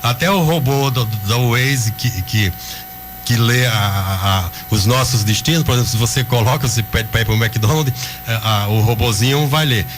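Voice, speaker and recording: A man from the Pernambuco backlands, a writer and linguist, speaking as a guest in a radio interview with a thoughtful, measured manner. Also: medium pitch, soft background music. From Pernambuco sertão, Brazil. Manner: thoughtful, measured, slightly professorial.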